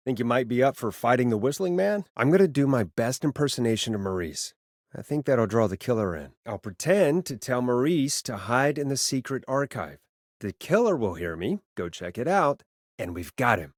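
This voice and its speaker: An actor playing a wry, quick-witted late-night radio host in a video game, with sarcastic, hesitant asides. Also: male voice, medium pitch, no room sound, clean studio sound.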